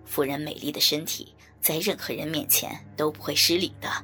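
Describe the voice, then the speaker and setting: Lady doing a creepy menacing guy for an audiobook, low n raspy, mocking, kinda sharp edge. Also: faint music behind. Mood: mocking, coercive. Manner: slow, taunting delivery. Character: lecherous, menacing male vegetable-shop villain, mocking and coercive.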